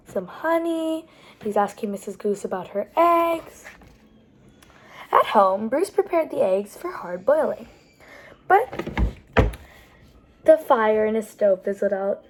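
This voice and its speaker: Slow-paced, high-voiced woman reading picture books. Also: a little hiss or hum, poor audio. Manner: animated, explains pictures and words as she goes. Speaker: storytime host reading picture books aloud. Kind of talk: expressive read-aloud for young children, with asides to the listeners.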